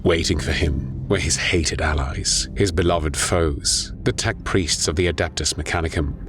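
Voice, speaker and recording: A man giving grave, deliberate, dramatic narration of a scripted audio story. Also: a bass voice, music in the background. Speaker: narrator and voice performer.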